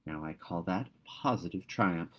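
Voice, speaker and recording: A man voicing a tender, earnest teenage girl with a gentle, emphatic way of speaking. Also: sits low, unhurried, husky, exaggerated voice.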